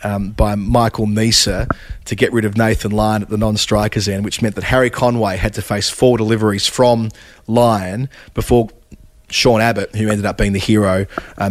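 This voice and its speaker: Man, raspy, hoarse from a cold, low voice, dry sound, casual sports chat. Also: no room sound. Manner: stat-heavy, with frequent fillers and restarts. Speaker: cricket podcast host.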